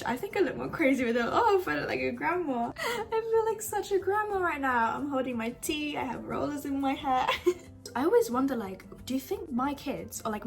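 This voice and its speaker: A teenage girl from Brazil with a croaky, hoarse voice from a cold, chatting casually through a get-ready-with-me vlog filmed on her phone at home. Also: high voice. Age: teens. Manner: chatty, rambling with frequent "like". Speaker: vlogger. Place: home bedroom.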